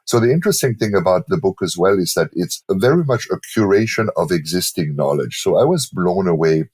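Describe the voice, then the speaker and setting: A middle-aged man with a German accent, a guest in a podcast interview, explaining brand strategy in a relaxed, explanatory way and sometimes acting out what imagined clients say. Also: deep voice, a little fast, slightly rough, dry recording.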